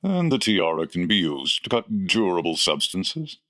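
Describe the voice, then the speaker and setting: A man's voice: deep voice, sing-song, normal speed, fairly bright tone. A man playing a kindly, weary old Santa Claus in a comedic audio drama, with a hesitant, avuncular manner. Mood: helpful.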